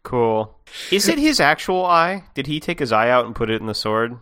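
A man's voice: mid-range voice, big pitch swings, moderate pace, pushed and bright, clean tone. A man co-hosting a podcast, chatting casually as he reacts and asks questions. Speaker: podcast co-host.

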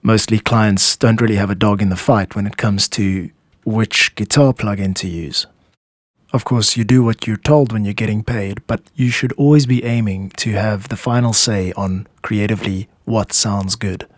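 Low-voiced man hosting in a dry studio. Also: fairly even intonation, normal speed, no room sound. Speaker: podcast host and music composer. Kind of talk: scripted explanatory narration.

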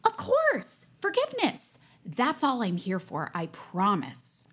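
A woman playing a zealous, nosy high school senior in an audio drama, pushy and earnest in a self-righteous way. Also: wildly swooping pitch, slow pace, fairly bright tone, slightly rough, heavily acted. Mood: sweetly insistent.

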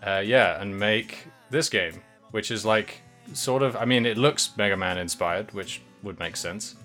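A man's voice: lowish voice, a little slow, a bit bright, faint music behind. A man co-hosting a casual podcast, rambling loosely, laughing often and trailing off mid-sentence. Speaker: podcast co-host.

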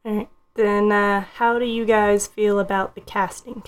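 A woman's voice: mid-range voice, flat delivery, normal speed, smooth. A woman hosting a casual group discussion, guiding it along in conversational speech. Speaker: podcast host leading a film discussion.

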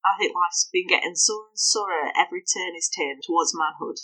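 A woman voicing a tearful, defiant older farm wife and mother in a high, emotional voice. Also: a little fast, pushed and bright, low-quality recording. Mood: wistful.

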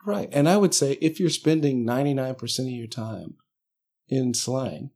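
A man's voice: medium pitch, moderate pace, darkish tone. A man talking casually in a conversation, laid-back and joking, working through his ideas aloud with hesitations.

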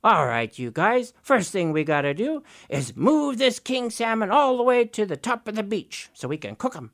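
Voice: medium pitch, sing-song, normal speed, creaky, voice pushed up. A storyteller voicing a scheming male raven trickster who stammers and wheedles. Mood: bossy.

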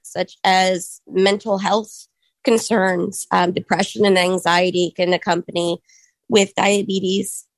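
A woman in her thirties speaks with a hoarse voice that has a gravelly, fry-laden edge. She talks earnestly and reflectively and often stops to pause with an um. The recording is dry and close. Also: medium pitch, no room sound. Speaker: speaker sharing her story. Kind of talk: personal testimony talk.

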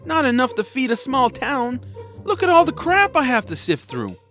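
A man's voice: very high-pitched, huge pitch swings, moderate pace, a bit bright, faint music behind, voice pushed up, exaggerated voice. A man voicing a squeamish, reluctant but good-hearted young boy in a family audio drama, complaining and incredulous. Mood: disgusted.

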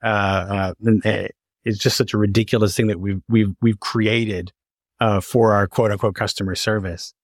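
A man hosting a casual interview, talking in an animated, rambling way and often stammering or repeating words. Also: lowish voice, normal speed, no room sound, studio quality. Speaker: interviewer, podcast host.

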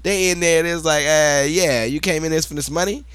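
A man's voice: a little high, a little fast, clear, resonant tone, no room sound. A man chatting casually as a podcast co-host, telling stories in slangy, profane language. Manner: slangy, profane, animated retelling with quoted asides. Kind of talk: casual chat, storytelling.

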